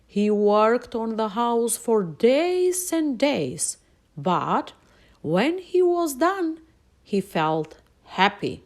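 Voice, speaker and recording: A woman storyteller reading a picture book aloud to children in an expressive, deliberate way. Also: on the high side.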